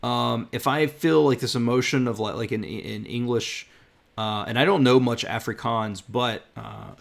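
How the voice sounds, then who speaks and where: A man hosting a podcast, chatting in a relaxed way and reading out listener questions. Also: medium pitch, moderate pace, vocal fry. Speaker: podcast host. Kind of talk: casual chat.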